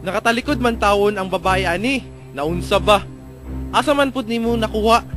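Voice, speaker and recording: A man acting high-voiced, insistent Filipino detective. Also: moderate pace, natural voice. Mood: businesslike, probing. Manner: insistent, questioning, authoritative. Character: stern, pressing male lead police detective. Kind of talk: melodramatic radio drama acting. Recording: music under the voice.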